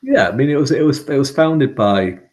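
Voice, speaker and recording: A man's voice: mid-range voice, lively intonation, a little fast, darkish tone. A man with a strong Mancunian accent hosting a casual live-stream chat, talking in a rambling way with many fillers and restarts. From England and Ireland. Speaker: host.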